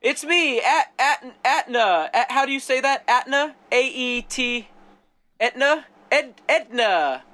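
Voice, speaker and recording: A man voicing an eager insurance company pitchman in an improv scene, stumbling over his words. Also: expressive, slow, sharp tone, exaggerated voice. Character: eager salesman for an insurance firm, male.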